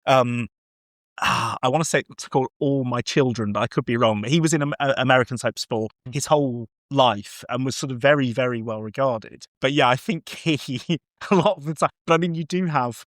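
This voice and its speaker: A man's voice: medium pitch, brisk, fairly bright tone, no echo, studio quality. A man chatting casually as a podcast host about film and TV, enthusiastic, rambling and prone to swearing.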